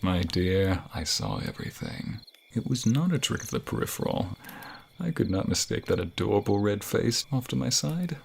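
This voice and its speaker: A man playing a low-voiced, tender romantic king. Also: very animated, moderate pace, a little hiss or hum, heavily acted. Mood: teasing, flirtatious.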